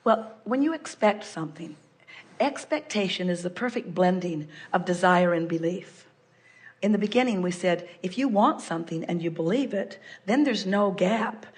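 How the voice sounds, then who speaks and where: An older woman giving a measured, explanatory seminar talk, referring to herself in the third person. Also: fairly low pitch, animated. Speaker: spiritual speaker answering a guest. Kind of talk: live seminar channeling talk.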